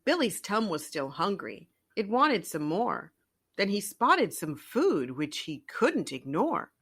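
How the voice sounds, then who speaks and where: A woman storyteller reading a children's picture book aloud in an expressive narrating style. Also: medium pitch, takes it slowly, slightly rough.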